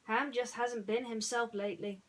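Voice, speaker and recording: A woman's voice: on the high side, steady tone, moderate pace, voice pushed up, close to an everyday voice. Storybook toy cowboy played by a woman: male, friendly, concerned for his pal, lifted and emphatic delivery.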